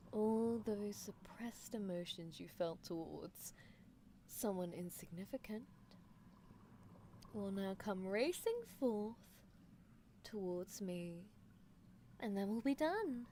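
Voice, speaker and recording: A woman's voice: mid-range voice, animated, slow, long pauses, warm tone, a little hiss or hum. A woman playing a doting, possessive young female mad scientist in an ASMR roleplay, coaxing and theatrical, slipping toward whispers. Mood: coaxing, eager.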